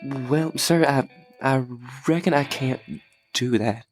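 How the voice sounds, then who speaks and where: A man playing a nervous, slow-witted young bandit, hesitant and husky in an audio drama. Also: medium pitch, faint music behind, close to an everyday voice. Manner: hesitant, stammering.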